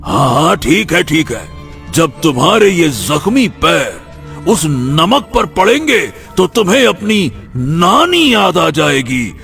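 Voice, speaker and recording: Slow, menacing gravelly demon. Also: male voice, fairly high pitch, very animated, a bit bright, soft background music, exaggerated voice. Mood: gloating, taunting. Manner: slow, drawn-out, taunting delivery. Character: menacing, gloating male demon of the forest. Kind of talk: animated village fairy tale acting.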